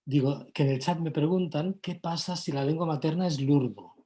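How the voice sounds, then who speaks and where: A woman's voice: low-pitched, normal speed, warm tone. A woman, politely and formally putting questions as a moderator. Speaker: moderator relaying audience questions.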